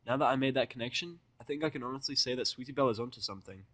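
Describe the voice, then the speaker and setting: A man's voice: medium pitch, very fast, fairly bright tone, no room sound. A man narrating fan fiction in the first person, with irreverent, sweary casual asides.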